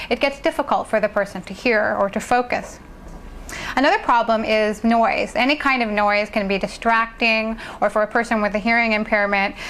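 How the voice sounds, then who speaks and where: A woman assistant professor giving a clear, measured instructional demonstration, speaking into a worn lapel microphone. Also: mid-range voice, a bit bright, slight background noise.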